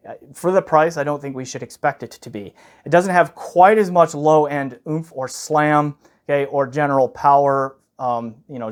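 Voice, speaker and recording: A man giving an unscripted, explanatory audio gear review, often checking in with "okay" as he goes. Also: a little high. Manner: explanatory, punctuated with frequent "okay" check-ins.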